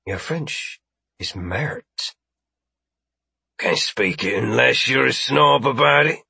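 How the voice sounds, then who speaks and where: A man's voice: medium pitch, lively intonation, slow, gravelly, pushed and bright, breathy edge, dry recording, full character voice. A man voicing a wry, crusty older veteran with a laid-back, joking manner in an audiobook. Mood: teasing. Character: wry, crusty older male veteran, calm under threat. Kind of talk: audiobook character voice.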